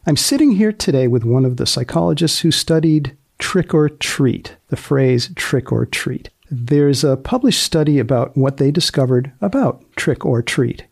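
A man's voice: medium pitch, animated, normal speed, gravelly, few pauses, darkish tone, husky. A man hosting a podcast in a conversational interview style, with a playfully probing manner. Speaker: podcast host and magazine editor. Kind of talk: conversational interview with scripted intro.

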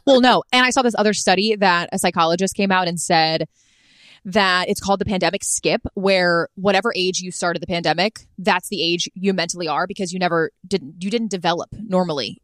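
A woman's voice: fairly low pitch, brisk, a bit bright, dry recording. A woman in her twenties co-hosting a podcast in casual chat, enthusiastic and playful. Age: 20s. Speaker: podcast co-host.

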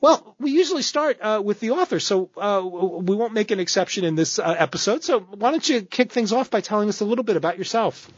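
A man hosting an academic podcast, reading a measured, lecture-style introduction and interviewing his guest. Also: sits high, lively intonation, husky, no room sound, lo-fi sound. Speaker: podcast host.

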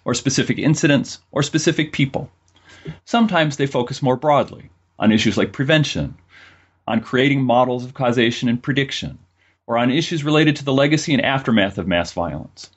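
Male podcast host, lively pitch, breathy and slightly muffled. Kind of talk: scripted introduction and interview.

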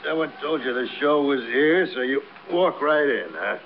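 An actor playing a big, childish, bragging hoodlum who is wounded and dying, in an old radio crime drama. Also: male voice, mid-range voice, expressive, normal speed, soft background music, lightly acted. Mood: taunting. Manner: taunting, rambling, repeats himself. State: wounded, labored speech. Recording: muffled, noisy vintage radio recording.

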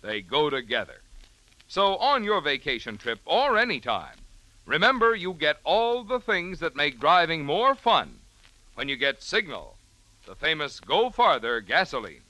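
An American man delivers a vintage radio sponsor spot. His voice is bright and forceful with a dry, close sound. He is polished and salesmanlike, with animated rises and falls. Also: a little high, a little slow, dry recording, low-quality recording. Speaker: commercial announcer. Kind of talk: 1940s radio sponsor commercial, scripted.

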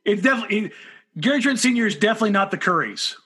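Male American sports podcast co-host. High, raspy voice, casual banter. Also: lively intonation, rapid-fire, pushed and bright.

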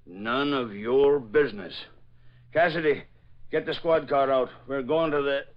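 An actor playing a gruff, slangy male police desk sergeant in a 1940s radio drama, brusque but obliging. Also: medium pitch, measured pace, natural voice. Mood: grumpy, exasperated. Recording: dull old radio recording.

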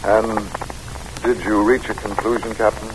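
An actor playing a weary, kindly middle-aged American president in measured, solemn, folksy style in an old-time radio drama. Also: male voice, lowish voice, flat delivery, lightly acted. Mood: grave, earnest. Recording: noisy old radio recording.

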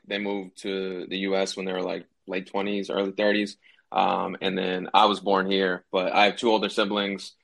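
A man's voice: sits low, vocal fry, fairly bright tone. A young man from New Hampshire, a rapper guesting on a podcast, talking in a laid-back way with lots of fillers. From New Hampshire, USA. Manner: laid-back, uses lots of fillers like "like" and "you know what I mean". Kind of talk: casual podcast interview.

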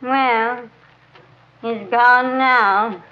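An actress playing a bitter, curt, long-suffering wife in a 1940s radio comedy. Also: female voice, on the high side, steady tone, drawn-out words. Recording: muffled, noisy old radio recording.

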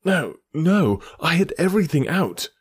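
A jittery young official, as a male reader puts him on, bursts out in high, indignant, clipped lines. His voice is strained and a little breathy. The sound is close and dry. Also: a little slow, no room sound, exaggerated voice. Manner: excitable, indignant, clipped outbursts. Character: agitated young male government secretary, highstrung. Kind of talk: audiobook character reading.

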